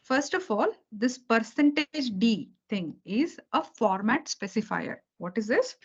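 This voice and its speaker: A woman's voice: a little high, very animated, a little slow, husky. A woman teaching a beginner programming lesson over a video call, patient and repetitive, checking understanding after almost every sentence. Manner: patient, repeats key points, checks understanding with okay and right. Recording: dull lo-fi call audio.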